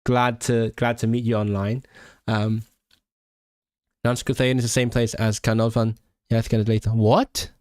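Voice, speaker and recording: A man's voice: mid-range voice, flat delivery, a little slow, a little mellow, no echo, clean studio sound. Young man from Hong Kong hosting a livestream. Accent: British English mix. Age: young adult. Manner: hesitant, many restarts and fillers, self-deprecating. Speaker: language channel host; language learner and student. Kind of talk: casual livestream chat reading viewer comments.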